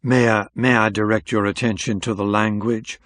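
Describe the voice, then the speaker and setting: A man performs a cool, insolent special agent from a mystery novel. His Southern American accent is smooth and measured, and his manner is formal and unflappable. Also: on the low side, little pitch movement, fairly bright tone, no room sound, lightly acted. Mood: cool, cutting.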